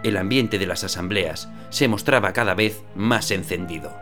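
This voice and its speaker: A man playing a weary, low-voiced shipyard worker. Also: normal speed, over music, natural voice. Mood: sober. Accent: Spanish. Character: weary male shipyard worker, sober and steady. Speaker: shipyard worker interviewed by phone. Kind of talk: radio drama testimony.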